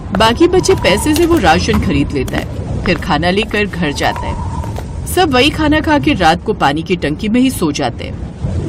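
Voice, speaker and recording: A woman's voice: medium pitch, background noise. A woman reading a scripted story as its narrator.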